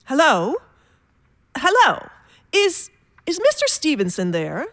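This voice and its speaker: An actress playing an anxious, querulous invalid wife in a radio drama, fretful and insistent. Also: female voice, high-pitched, huge pitch swings, slow pace, a bit bright, no room sound, studio quality, exaggerated voice. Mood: agitated.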